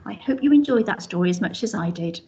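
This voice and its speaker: A woman's voice: mid-range voice, animated, fast, mellow, lo-fi sound, a little hiss or hum. A woman teacher reading a rhyming picture book aloud to young children, pointing out the pictures as she goes. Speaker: infant school teacher.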